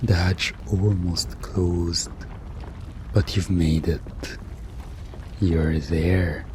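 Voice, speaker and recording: A man narrating a scripted hypnosis ASMR story in a hushed, suggestive way with dramatic pauses. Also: low-pitched, fairly even intonation, noisy background.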